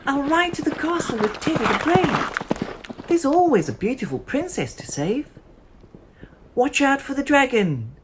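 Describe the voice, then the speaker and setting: A woman voicing a defiant, excitable, imaginative little girl in a children's storybook, boastful and theatrical. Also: high-pitched, unhurried, noisy background, voice pushed up. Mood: bold, excited.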